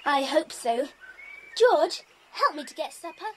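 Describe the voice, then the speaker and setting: A small, sensible, easily worried English girl in a children's adventure play. The voice is very high and animated. She speaks earnestly, a little plaintively, over faint noise. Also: a little slow. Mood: earnest, domestic. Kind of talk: children's audio drama acting.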